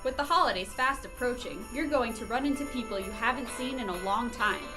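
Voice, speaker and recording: Fairly high female advert voice over music. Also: a little fast, low-quality recording. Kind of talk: promotional radio ad read.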